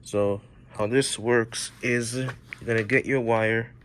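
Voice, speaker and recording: A man giving an informal, unscripted how-to tutorial, explaining step by step in a halting way. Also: mid-range voice, steady tone, background noise. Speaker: presenter of a how-to repair video.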